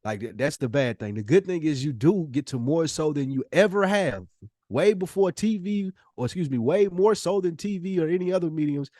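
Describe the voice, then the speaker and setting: A man's voice: on the high side, expressive, fairly quick, mellow, dry recording, studio quality. A man co-hosting a podcast in casual, slangy debate, arguing his points emphatically and swearing freely. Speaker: podcast co-host.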